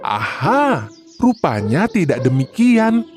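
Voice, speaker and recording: A storyteller narrating a scripted children's tale in an expressive, deliberate manner. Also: medium pitch, soft background music.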